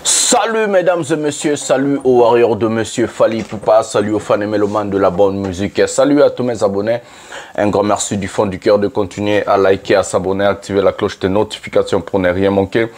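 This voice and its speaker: A man hosting a casual music commentary show, talking with a cold, full of fillers and throat clearing. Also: fairly low pitch, fairly quick, a little mellow. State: has a cold. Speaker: YouTube music commentary host. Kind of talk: casual monologue to subscribers.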